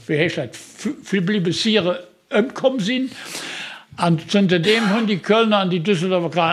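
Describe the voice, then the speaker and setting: A man, joking and rambling through stories with many fillers. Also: sits high. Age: 80s. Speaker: guest. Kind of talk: casual storytelling chat.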